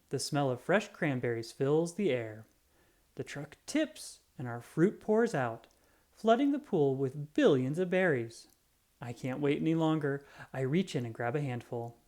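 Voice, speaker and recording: A reader reading a children's picture book aloud in an expressive storytelling manner. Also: medium pitch.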